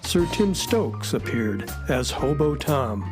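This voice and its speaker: A man's voice: mid-range voice, big pitch swings, takes it slowly, over music. A man reading scripted radio credits as the show's announcer.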